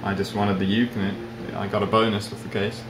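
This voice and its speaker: A man of about thirty with a mixed South African, English and Australian accent, chatting casually and a little haltingly as an interview guest. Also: lowish voice. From South Africa, with years in England and Australia. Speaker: interview guest, ukulele player and magazine editor. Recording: roomy echo, steady background noise.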